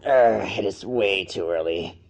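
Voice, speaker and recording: A man's voice: low voice, unhurried, creaky, voice pushed down. A man voicing a sleepy, grumbling young male dragon in an amateur story reading. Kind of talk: amateur fan fiction reading.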